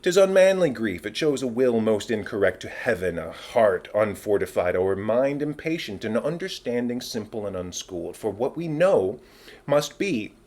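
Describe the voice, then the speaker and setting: A man playing a commanding middle-aged king in a dramatic Shakespeare reading, formal and declamatory, with smooth authority. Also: fairly low pitch, big pitch swings, normal speed, darkish tone. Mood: stern, reproving. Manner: declamatory, stately.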